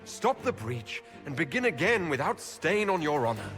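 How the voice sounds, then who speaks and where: An actor playing a firm, measured leader of an inquisition in a fantasy game. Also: male voice, on the high side, sing-song, over music. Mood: urgent, commanding. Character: resolute male leader of an inquisition, firm and diplomatic. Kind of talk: dramatic fantasy game acting. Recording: game score behind dialogue.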